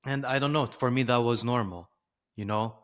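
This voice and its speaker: A man's voice: mid-range voice, normal speed. A man in his twenties from Lebanon and Dubai, a podcast guest talking casually and reflectively with plenty of filler words. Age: 20s. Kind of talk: casual conversation.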